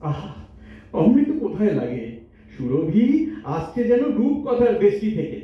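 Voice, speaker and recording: A man playing a playful, flattering young lover, declaiming theatrical rhymed verse in a stage audio drama. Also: high-pitched, a little slow. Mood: adoring, flattering. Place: multipurpose hall stage in Kolkata. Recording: very echoey hall, distant mic, dull.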